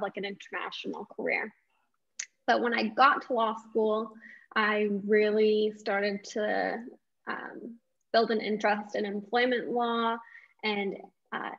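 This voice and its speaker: A young woman speaks as an interview guest, conversational and at an average pitch. She is on a video call through earbuds, so the sound is slightly dull and lo-fi. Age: late 20s. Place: small indoor room at home. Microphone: wireless earbuds on a video call.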